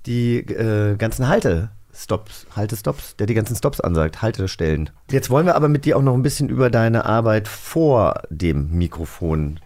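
A man from the German countryside hosts an informal interview show. His voice is on the low side, and he speaks conversationally, like someone in a relaxed chat with guests. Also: moderate pace, few pauses. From rural Germany. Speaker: host of an audio drama magazine show. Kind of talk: casual interview talk.

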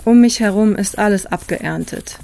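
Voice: mid-range voice, normal speed, vocal fry, darkish tone. A podcast interviewer and narrator who moves between conversational questions and reflective storytelling.

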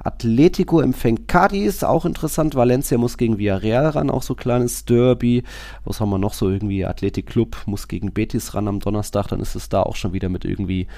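Male podcast host, lowish voice, loose conversational football talk with rambling asides. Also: fairly quick, dark tone. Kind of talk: casual football chat.

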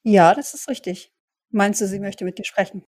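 A woman playing a cautious, polite vampire in an audio drama, with a measured, considerate way of talking. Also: medium pitch, darkish tone, no room sound, clean studio sound, close to an everyday voice. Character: cautious, polite female vampire, calm voice of reason. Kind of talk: hobby audio drama dialogue.

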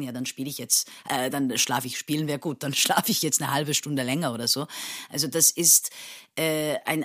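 A woman's voice: low voice, fairly bright tone. A woman in her late thirties from Turkey, an actress talking casually in an interview, thoughtful, with many fillers and restarts and frequent laughter. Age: 30s.

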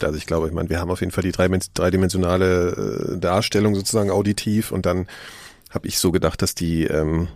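A man's voice: low-pitched, gravelly, a little mellow, no room sound, clean studio sound. A man in a casual discussion, speaking loosely and tentatively and often repeating words as he forms a thought.

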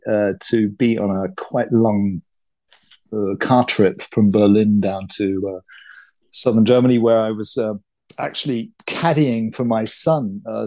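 Co-host, male: mellow, measured speaker, heavy on fillers. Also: on the low side, low-quality recording. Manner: measured, hesitant with many fillers. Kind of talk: casual interview chat.

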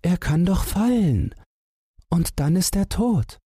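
A man's voice: sits high, expressive, slow pace, mellow, slightly rough, no echo, clean studio sound, voice pushed up, full character voice. A man voicing a timid little female mouse who pleads and begs in a storybook reading. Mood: worried. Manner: pleading, plaintive.

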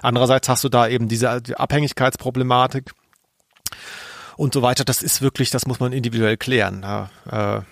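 A man's voice: medium pitch, fairly even intonation, brisk, dry recording, studio quality. A man co-hosting a podcast, explaining things earnestly in casual conversation. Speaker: podcast co-host.

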